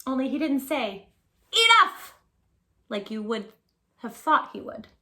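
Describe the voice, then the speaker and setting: A woman reading a picture book aloud to young children, with dramatic emphasis, hushed asides and questions to her listeners. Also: on the high side, normal speed, echoey room. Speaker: children's storytime host.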